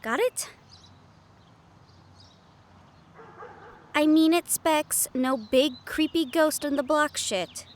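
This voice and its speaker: High-pitched, exasperated young woman, as played by an actress. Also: unhurried, a little hiss or hum. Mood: wary, firm. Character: young woman, anxious, sweary, exasperated medium haunted by a ghost. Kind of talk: audio roleplay acting.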